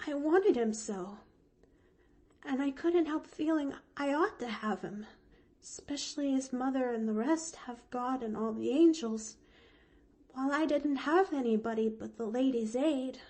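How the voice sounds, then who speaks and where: Woman doing a bright, gushing little orphan girl. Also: high voice, measured pace, pitched above the performer's own voice. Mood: eager. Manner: breathless, gushing, chattery. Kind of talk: audiobook character voice.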